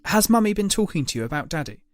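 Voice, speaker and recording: A man playing a defensive divorced father in an audio drama, guarded and quick to snap. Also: high-pitched, very animated, voice pushed up. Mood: guarded, gentle. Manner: guarded, snapping when provoked. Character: immature, defensive divorced young father, trying to be gentle.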